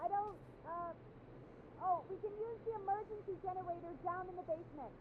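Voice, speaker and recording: An actress playing a friendly radio producer in a horror game, speaking in an earnest, chatty and practical way. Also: female voice, very high-pitched, fairly quick, narrow, tinny audio. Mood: practical, uneasy. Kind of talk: dramatic video game voice acting.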